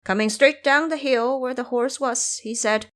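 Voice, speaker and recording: A woman's voice: high voice, moderate pace, fairly bright tone. A woman narrator delivering scripted narration for an audio drama story.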